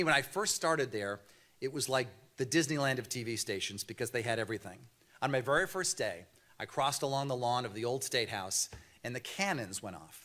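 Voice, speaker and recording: A news anchor in his fifties accepts an award at a banquet podium, speaking into the microphone with a mild Boston accent. His delivery is measured and earnest, a prepared speech with some light storytelling humor. His voice sits at an ordinary middle pitch for a man. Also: fairly bright tone. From Boston area, USA.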